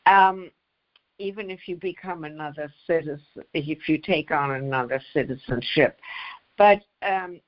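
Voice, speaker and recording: An older woman from the United States speaking as an interview guest, pausing often mid-phrase as she recollects and explains. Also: sits low. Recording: slightly dull, lo-fi audio.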